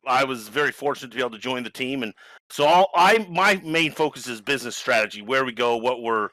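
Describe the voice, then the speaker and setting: Bright, eager man with an American accent. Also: mid-range voice, animated, fast, no echo, poor audio. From New Zealand. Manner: enthusiastic, rambling. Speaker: business strategist. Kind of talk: casual panel chat.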